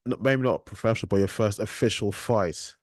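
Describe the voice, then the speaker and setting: A man with a very low voice hosts a podcast and asks his guest questions in a relaxed, halting manner. The sound is dry and close, with no room echo. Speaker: podcast host. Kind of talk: casual interview questions.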